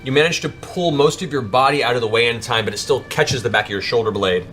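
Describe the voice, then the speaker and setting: A man's voice: medium pitch, fast talker, fairly bright tone. A man narrating a tabletop fantasy game as game master, in a dramatic, improvised storytelling style. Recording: music behind the voice. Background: background music.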